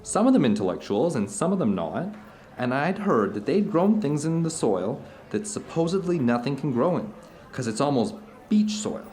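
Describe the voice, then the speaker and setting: A man's voice: on the high side, very animated, moderate pace, darkish tone, a little hiss or hum. An actor playing an earnest, fervent middle-aged man who tells rambling stories of strange experiences in an audio drama. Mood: earnest wonder. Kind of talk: audio drama dinner-table monologue.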